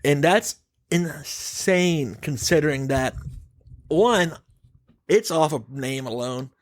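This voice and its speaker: A man's voice: fairly high pitch, lively intonation, slow pace. A man hosting a casual podcast, giving his opinions emphatically and swearing casually. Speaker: podcast host.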